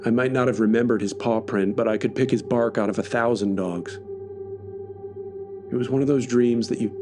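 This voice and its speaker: A man's voice: mid-range voice, moderate pace, music in the background. A man reading scripted first-person horror narration as a story podcast narrator.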